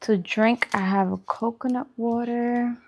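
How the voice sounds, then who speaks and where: A woman's voice: a little high, fairly even intonation, unhurried, a little mellow, a little hiss or hum. A woman hosting a food channel, chatting casually and informally with viewers during a mukbang livestream.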